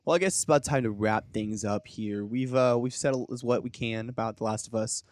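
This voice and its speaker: A man's voice: mid-range voice, fairly quick, dry recording. Easygoing young man, dry close sound. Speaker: podcast co-host. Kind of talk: casual chat.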